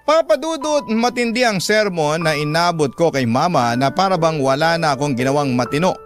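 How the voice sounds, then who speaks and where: A man's voice: a little high, animated, moderate pace. A man with a Filipino accent reading a personal story aloud as a radio storyteller. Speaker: radio show host reading a listener's letter. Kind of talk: storytelling narration. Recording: music under the voice. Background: background music.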